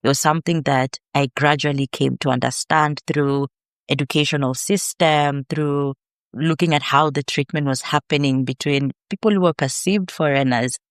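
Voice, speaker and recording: A woman with a Kenyan accent, a podcast guest and researcher, telling her story in a measured, earnest way. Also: deep voice, steady tone, clean tone, no room sound, clean studio sound. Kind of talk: reflective interview answers.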